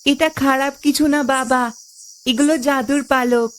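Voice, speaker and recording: A woman's voice: high-pitched, steady tone, moderate pace, slight background noise, close to an everyday voice. A woman voicing an amazed village youngster in a fantasy cartoon. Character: wonder-struck village youngster.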